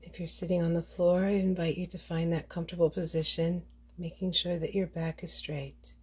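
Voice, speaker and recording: Female meditation guide, lower register, very soft-edged dark tone, measured phrasing with pauses. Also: flat delivery, slightly rough.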